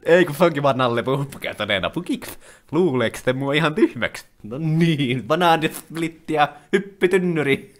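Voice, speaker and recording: A man's voice: on the high side, expressive, natural voice. A man voicing a bouncy, brash male tiger in an improvised comedy cartoon dub, boisterous and full of exclamations. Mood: excited.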